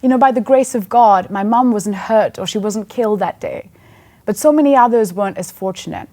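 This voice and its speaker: A woman's voice: medium pitch, a little fast, mellow. A woman in her thirties from Sri Lanka, telling a personal story in an earnest, conversational sermon. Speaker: church speaker.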